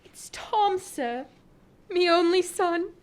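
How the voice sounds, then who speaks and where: A woman's voice: very high-pitched, lively intonation, slow, full character voice. A woman playing a humble, distraught old seamstress mother in a radio drama, pleading anxiously. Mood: anxious, grieving.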